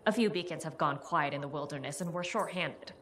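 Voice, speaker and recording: A woman's voice: low voice, brisk, fairly bright tone, slight background noise. An actress playing a busy, preoccupied, politely manipulative female researcher in a video game. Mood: brisk, distracted.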